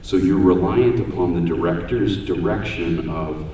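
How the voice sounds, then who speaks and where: A man with a deep voice giving a casual, joking talk about voice acting on a convention panel. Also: measured pace, dark tone, a little hiss or hum. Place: large convention hall room. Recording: echoey hall, voice far from mic.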